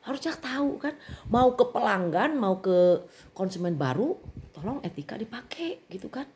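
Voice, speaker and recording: Middle-aged woman vlogger, Sundanese accent, animated and indignant as she vents. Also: a little high, normal speed. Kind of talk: venting monologue.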